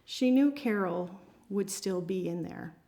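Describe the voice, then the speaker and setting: Woman, amateur author presenting her own writing aloud; medium pitch. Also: unhurried, dark tone. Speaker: amateur writer reading at an open mic. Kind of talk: reading own short story aloud to a group.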